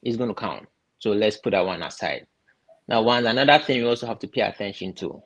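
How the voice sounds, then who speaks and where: Husky low-voiced man from Ghana. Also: a bit bright, muffled recording. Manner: halting, repeats words while thinking. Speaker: guest explaining visa steps. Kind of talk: casual advice talk.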